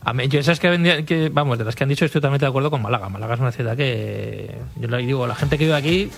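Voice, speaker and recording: Hablador locutor español, rápido. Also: male voice, mid-range voice, rapid-fire, vocal fry, faint music behind. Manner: chatty, enthusiastic, repeats himself. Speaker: radio co-host. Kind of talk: casual radio chat.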